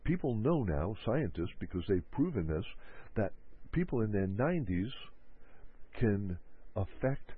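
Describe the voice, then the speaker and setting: A low-voiced, husky man gives a motivational self-help talk. He keeps circling back to his points and slips into short acted-out bits of people's anxious self-talk. His voice comes through a narrow, phone-like radio signal. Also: sing-song, a little slow. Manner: repetitive, acts out quoted inner voices.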